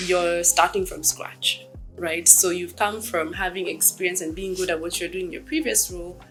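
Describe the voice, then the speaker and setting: A woman in her thirties from Kenya, a startup executive giving reflective, measured interview answers with frequent ums. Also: lowish voice, sharp tone, poor audio.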